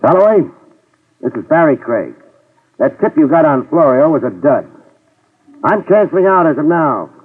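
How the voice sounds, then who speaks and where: An actor playing a wisecracking New York private detective who narrates a 1950s radio drama. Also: male voice, on the high side, big pitch swings, moderate pace, long pauses, husky, recorded from a distance. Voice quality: dry, wisecracking. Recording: tinny old radio broadcast with music.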